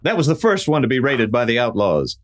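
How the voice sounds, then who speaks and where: Male actor as resolute cowboy hero, American accent, animated heroic delivery, authoritative, methodical explainer, old-west radio play. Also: mid-range voice, a little fast, natural voice. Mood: explaining. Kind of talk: Western radio play acting.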